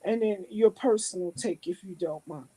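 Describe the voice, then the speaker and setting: A woman in a casual discussion, asking a long, deliberate question. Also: medium pitch, warm tone, husky, rough recording.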